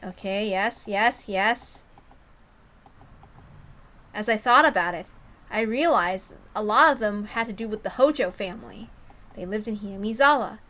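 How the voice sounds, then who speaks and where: A woman's voice: medium pitch, measured pace, pauses often, bright, forceful tone. A woman reading a story aloud expressively, breaking into casual, self-interrupting asides. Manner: self-interrupting, openly flustered over mistakes.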